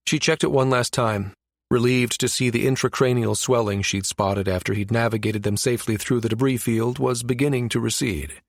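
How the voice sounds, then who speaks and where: A man's voice: mid-range voice, steady tone, normal speed, no echo, studio quality. A man reading scripted narration from a science fiction novel as an audiobook narrator.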